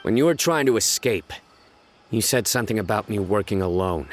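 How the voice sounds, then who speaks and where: A grim game lawman with a very low, deep voice. He speaks curtly and formally, issuing commands and questions without softness. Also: animated, brisk, soft background music. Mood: stern. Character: stern young male lawman, grim and relentless. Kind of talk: video game voice acting.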